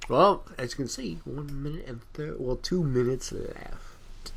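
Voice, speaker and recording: Man, mid-pitched voice, casual gameplay commentary, amateur, rambling, self-deprecating. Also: unhurried, creaky. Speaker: video game commentator.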